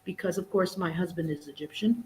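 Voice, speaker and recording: A woman's voice: fairly low pitch, a little fast, darkish tone, slight background noise, low-quality recording. A middle-aged woman and English teacher, chatting as a guest on a live stream over a video call, full of restarts and "you know". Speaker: guest, English teacher and exam prep tutor. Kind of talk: casual live-stream conversation.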